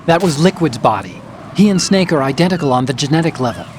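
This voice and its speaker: An actor playing a calm, articulate male idealist who explains things earnestly, in a video game. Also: fairly high pitch, fast, a little hiss or hum, lightly acted. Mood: explanatory. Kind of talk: dramatic video game acting.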